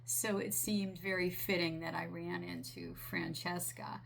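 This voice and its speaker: A woman channeler talking gently and earnestly, her voice raspy from a sinus infection. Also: fairly low pitch, fairly even intonation, slight background noise. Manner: slow, gentle, earnest with small restarts. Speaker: spiritual channeler. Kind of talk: relaxed monologue relaying a channeled message.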